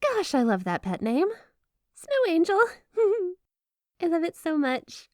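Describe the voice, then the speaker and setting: A woman's voice: very high voice, huge pitch swings, takes it slowly, no echo, heavily acted. Woman acting a sweetly menacing, flirty yandere wife, close and dry. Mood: gushing, adoring. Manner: gushing, coy, giggly, with dark possessive undertones. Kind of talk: ASMR roleplay, addressing the listener as spouse. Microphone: close, dry microphone.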